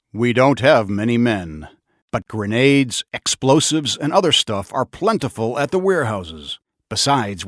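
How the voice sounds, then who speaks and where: A man's voice: medium pitch, no room sound, clean studio sound, natural voice. An actor playing a resolute, urgent Allied army officer briefing his squad in an earnest, commanding way for a war game.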